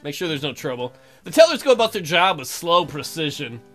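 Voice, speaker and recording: A game commentator with a bright, lively voice that moves around a lot in pitch. The host reads the story aloud and slips in playful, bawdy asides, with faint guitar music behind. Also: a little fast. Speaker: let's play host. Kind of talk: comic game commentary, reading text aloud.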